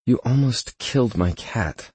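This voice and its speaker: Man voicing a prickly, sarcastic woman, pitched up. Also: lively intonation, measured pace, a little mellow, husky, no echo. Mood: icy, defiant. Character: young woman, prickly, defiant, icily sarcastic.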